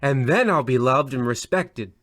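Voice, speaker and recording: Pompous, gloomy radio horror host acted by a man, deadpan, close dry studio sound. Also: mid-range voice, normal speed, no echo, lightly acted. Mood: childish pleading. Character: pompous, melancholy mother-haunted radio horror show host. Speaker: host narrator. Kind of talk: old-time radio horror host parody.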